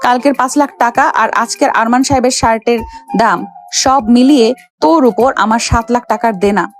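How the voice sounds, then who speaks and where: A woman's voice: on the high side, moderate pace, close to an everyday voice. A woman playing a haughty, cruel young rich boss in an audio story, scolding and threatening imperiously. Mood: mocking, bossy. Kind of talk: Bengali audio story acting. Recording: background music under the voice.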